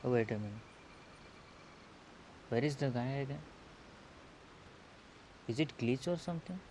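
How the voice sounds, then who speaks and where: A man giving casual gameplay commentary, thinking aloud haltingly, recorded on a murky earphone microphone. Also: medium pitch, darkish tone. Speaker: gaming video host. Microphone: earphone mic. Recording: hissy, murky earphone mic.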